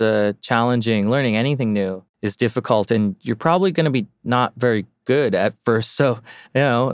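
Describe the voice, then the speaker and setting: A man's voice: mid-range voice, clear, resonant tone, dry recording, clean studio sound. A man who teaches and plays music, talking casually as a podcast guest in a reflective, encouraging way. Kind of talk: casual interview talk.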